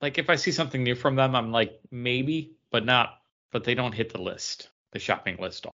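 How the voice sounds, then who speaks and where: A man's voice: medium pitch, moderate pace, pushed and bright. A man talking casually as a co-host on a podcast. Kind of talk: casual chat. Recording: dull lo-fi audio.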